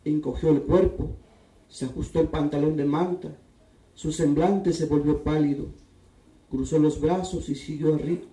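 Man with a dark voice, reading from far off. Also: on the high side, moderate pace. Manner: calm, steady reading voice. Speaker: writer reading his own text. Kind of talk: literary reading at a public event. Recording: echoey room, distant mic.

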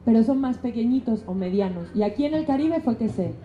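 A woman's voice: on the high side, animated, a little fast, crowd noise. A middle-aged woman with a Mexico City accent, a musician explaining things casually in an interview. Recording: muffled, echoey room, faint noise.

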